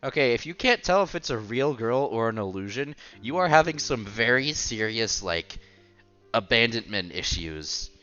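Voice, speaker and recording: A man reading a visual novel aloud as a streamer, breaking into casual, joking asides in an animated way. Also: medium pitch, normal speed, clean tone, highs cut off. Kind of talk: casual let's-play commentary mixed with expressive story reading. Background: music under the voice.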